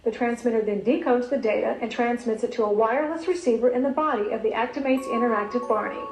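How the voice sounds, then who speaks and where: A woman's voice: fairly high pitch, fast talker, over music. A woman narrating a scripted product explainer as an announcer. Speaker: promotional video announcer. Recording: roomy archive video, faint noise.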